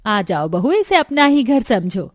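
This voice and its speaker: Woman as high-voiced cartoon mother-in-law. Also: big pitch swings, unhurried, dry recording, clean studio sound. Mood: affable. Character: middle-aged mother-in-law, bossy but affable matriarch.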